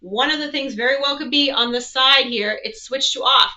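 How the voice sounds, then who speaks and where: A woman's voice: high-pitched, normal speed, sharp tone. A woman presenting an instructional product walkthrough, explaining things step by step. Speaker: presenter, weather educator.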